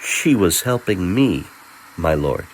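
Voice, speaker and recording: A man's voice: low-pitched, animated, slow pace, slight background noise. A man voicing a deferential young male performer in a fantasy storybook tale, polite and apologetic.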